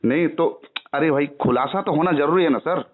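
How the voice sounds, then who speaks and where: A man from India on a phone call, questioning insistently and haltingly, often repeating himself. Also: on the high side. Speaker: channel creator, caller. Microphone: phone line. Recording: tinny narrowband phone call.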